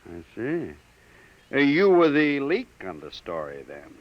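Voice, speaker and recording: A man's voice: mid-range voice, huge pitch swings, a little slow. An actor in a 1950s radio drama playing a curt male bureau chief who asks terse, skeptical questions. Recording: dull, faintly hissy old radio broadcast.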